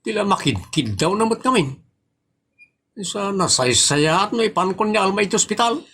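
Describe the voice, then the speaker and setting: A man's voice: high-pitched, expressive, normal speed, fairly bright tone, slight background noise. A man playing a skeptical, worried villager and son with an Ilocano accent in a comedy audio drama. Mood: concerned.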